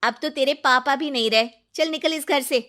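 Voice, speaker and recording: A woman's voice: high voice, normal speed, bright, forceful tone, pitched above the performer's own voice. A woman voicing a scheming, bossy stepmother in a cartoon moral story, with an emphatic scolding manner. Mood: angry scolding. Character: Geeta, scheming stepmother, turned harsh and bossy.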